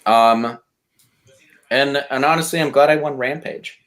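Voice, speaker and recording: A man talking casually as a podcast contestant, blunt, argumentative and quick to swear. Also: mid-range voice, a bit bright. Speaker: podcast contestant pitching movie reboots.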